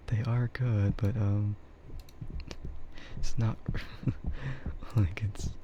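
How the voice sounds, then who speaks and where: A man streaming in a casual, rambling ASMR chat, speaking softly and hesitantly in a meandering way. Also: lowish voice. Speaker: VTuber streamer. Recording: dull lo-fi microphone with faint noise.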